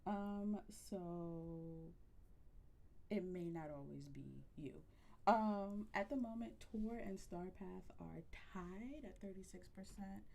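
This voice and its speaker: A woman streaming a video game from home, chatting casually with a soft voice close to her microphone, with lots of ums. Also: medium pitch, slow pace, creaky. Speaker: livestreamer. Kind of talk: casual gaming livestream chat. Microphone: close to a desk microphone.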